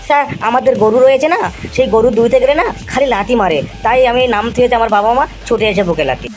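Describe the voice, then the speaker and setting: A woman's voice: a little high, quick pace, darkish tone, faint music behind, close to an everyday voice. A woman voicing a cocky, simple village pupil in an amateur animal cartoon comedy. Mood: matter-of-fact.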